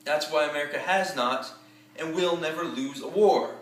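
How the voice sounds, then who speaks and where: A man performing a theatrical war-movie monologue as a tough, commanding American army general, emphatic and deliberate with dramatic pauses. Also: a little high, natural voice. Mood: proud, commanding. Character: tough, profane American army general rallying his troops. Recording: roomy echo, distant microphone.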